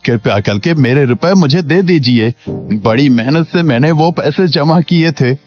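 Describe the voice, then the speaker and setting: A man's voice: on the high side, lively intonation, unhurried, darkish tone. A man playing an honest, humble village servant in a cartoon moral story, polite, earnest and deferential. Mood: earnest, pleading. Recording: dull lo-fi audio with background music.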